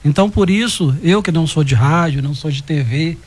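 Man in his fifties, raised pitch, unpolished radio guest. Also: brisk, husky. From Goiânia, Brazil. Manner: modest, a little nervous about public speaking.

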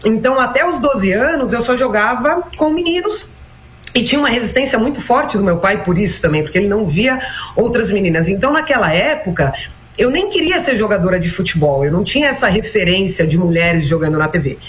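A woman's voice: medium pitch, expressive, a little hiss or hum. Reflective woman in her forties. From Brazil. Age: 40s. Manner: measured, frequent "né" and "então" fillers. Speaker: former national team player, guest. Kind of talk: reflective interview answers.

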